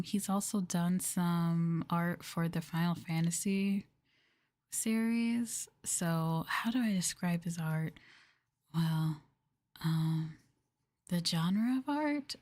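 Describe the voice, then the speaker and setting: A woman speaks softly and slowly in a gentle ASMR style, close to the mic. Her voice sits a little low and can drift toward a whisper. The sound is dry, as on a livestream made for quiet listening. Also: no echo. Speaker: VTuber streamer. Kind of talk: ASMR soft talking livestream. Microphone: close microphone.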